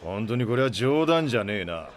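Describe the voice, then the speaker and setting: A male actor playing a gruff, blustery middle-aged starship captain in a Japanese audio drama, with rough, brusque, slangy speech. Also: lowish voice, very animated, a little fast. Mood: grudging, urgent. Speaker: ship captain.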